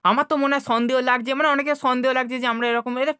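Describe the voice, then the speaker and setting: A woman with a fairly high voice talks casually to students as a co-host. She is earnest and emphatic, repeats her phrases, and sounds dry and close, with little room around her. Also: fairly even intonation, quick pace, pushed and bright, clear, resonant tone, no room sound. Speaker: channel co-host. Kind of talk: casual video talk to students.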